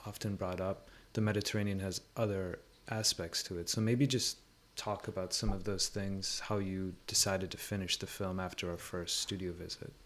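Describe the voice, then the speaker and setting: Low-pitched, reflective man in conversation. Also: steady tone, a bit bright. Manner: halting, reflective, searching for words. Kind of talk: thoughtful conversational discussion.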